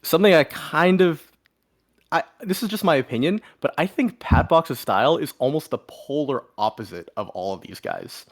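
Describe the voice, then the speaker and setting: A man's voice: fairly high pitch, expressive, normal speed. A man co-hosting a podcast, giving casual opinions and analysis in a conversational way. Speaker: podcast co-host.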